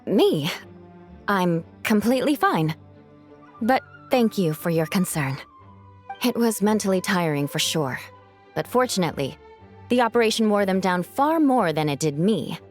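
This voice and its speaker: A woman playing a proud, composed young knight captain in a video game, speaking in a measured, formal way with dry wit. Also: mid-range voice, big pitch swings. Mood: assured, wry. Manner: measured, formal, dryly wry. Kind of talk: video game character dialogue. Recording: studio voice over game music.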